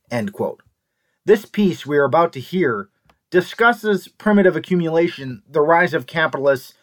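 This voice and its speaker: A man hosting a show, giving a scripted introduction and reading out quoted passages. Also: a little high. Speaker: host.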